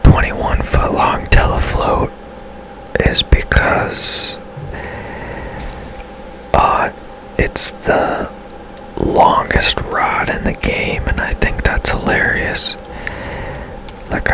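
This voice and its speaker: A man whispering softly and casually as he gives rambling, unhurried commentary on a video game for ASMR. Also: rough recording. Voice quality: soft unvoiced whisper. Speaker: game streamer.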